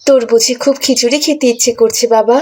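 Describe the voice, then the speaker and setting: A woman acts as a fretful, loving village housewife in a cartoon. Her voice is fairly high with a husky grain, and she speaks in a motherly, fussing way. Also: steady tone, brisk, mellow. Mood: tender. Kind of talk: cartoon voice acting.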